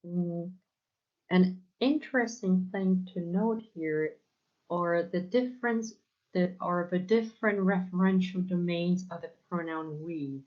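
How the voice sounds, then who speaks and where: A low-pitched woman with a Polish accent lectures unhurriedly, with frequent pauses and repeated words. The sound is muffled and lo-fi. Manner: hesitant, many fillers and repeated words. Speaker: academic lecturer. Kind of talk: academic conference talk.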